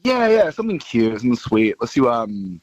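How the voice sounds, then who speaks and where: A man's voice: medium pitch, sing-song, fairly quick, darkish tone, dry recording. An American man talking casually and somewhat hesitantly on a phone call to a radio show.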